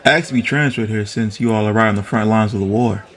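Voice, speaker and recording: A man's voice: on the low side, fast, a bit bright, lightly acted. Man playing an earnest, nervous young officer. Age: young adult. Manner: polite, hesitant, earnest. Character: tall, eager, nervous young male police officer. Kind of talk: 1920s detective radio drama acting.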